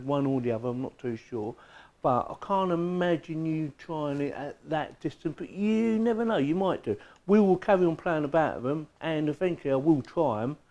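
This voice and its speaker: Mellow higher-pitched English man reviewing gadgets. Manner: chatty, informal, plain-spoken. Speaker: product reviewer. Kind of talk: casual hands-on product review.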